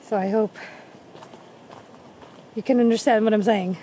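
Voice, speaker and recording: A woman's voice: medium pitch, fairly even intonation, measured pace. A woman with a cold, losing her voice, chatting casually as she walks an outdoor coastal trail, recorded on the camera's built-in mic. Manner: chatty, walking while she talks. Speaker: travel vlogger. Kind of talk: casual vlog narration while walking. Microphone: camera microphone. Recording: windy outdoor camera audio. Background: wind and outdoor noise.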